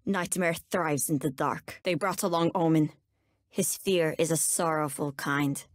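A sardonic, menacing bounty hunter from a video game, a woman who wields nightmares. Her voice sits on the low side and carries a Turkish accent. Every line lands cool, deliberate and ominous, in a dry, close recording with no room around it. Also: no echo. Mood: ominous, measured. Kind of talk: video game character voice lines.

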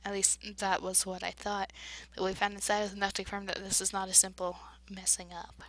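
A woman playing a game, reading its dialogue aloud and reacting with big emotional swings between loud outbursts and hushed narration. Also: medium pitch, monotone, brisk, poor audio. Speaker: let's play voice-over player.

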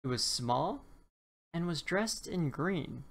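A woman narrates a children's picture book for bedtime. She speaks slowly and deliberately, in a low register that swoops expressively around key words. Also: lively intonation. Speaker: children's storybook reader. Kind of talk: read-aloud bedtime story narration.

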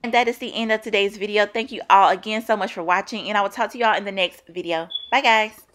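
A woman's voice: medium pitch, fairly even intonation, quick pace, background noise. A young adult woman narrating her home cleaning vlog in an upbeat, chatty voice-over. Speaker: cleaning vlogger.

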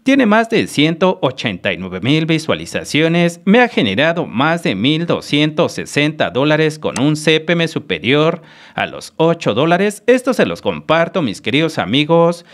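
Lively man explaining channel stats, dry. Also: on the high side, normal speed, dry recording. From Mexico. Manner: lively explanatory, repeats "mis queridos amigos". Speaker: YouTube channel analyst host. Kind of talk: screen-recorded earnings analysis voice-over.

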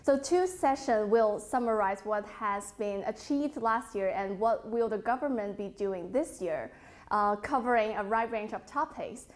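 A young woman hosting a TV interview, asking questions smoothly and prompting her guest. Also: medium pitch, lively intonation, unhurried. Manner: smooth, prompting, with short mm-hmm backchannels. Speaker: TV interviewer, host.

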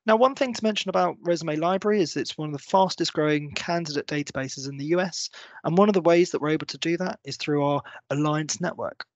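A man in his thirties presenting a software walkthrough, explaining step by step in a semi-scripted tutorial style. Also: fairly high pitch, quick pace, no echo, highs cut off. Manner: clear, step-by-step explanatory delivery. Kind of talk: semi-scripted screen-share tutorial narration.

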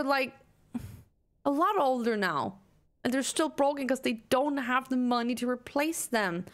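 A young Danish woman with a Danish accent, streaming a game and commenting in an animated, ranting way, reading lines aloud as she plays. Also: high voice. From Denmark. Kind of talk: let's play commentary.

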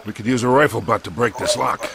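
An actor playing a gruff older treasure hunter in a video game. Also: male voice, medium pitch, fast, background noise, natural voice. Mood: grumbling. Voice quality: gravelly. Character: gruff older male treasure-hunting sidekick. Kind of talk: video game cutscene acting.

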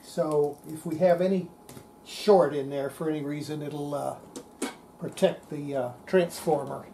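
A woman's voice: sits low, steady tone, a little slow, dark tone, a little hiss or hum. An older woman giving casual hands-on narration of a repair, murmuring to herself as she works. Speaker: hobbyist restorer presenting a repair video. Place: home electronics workshop, indoors.

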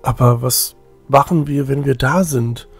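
Timid young cleric, male actor, hesitant and unhurried, fantasy audio drama with music underneath. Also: mid-range voice, husky. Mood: worried. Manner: hesitant, stammering. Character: timid, fretful young cleric of a war goddess.

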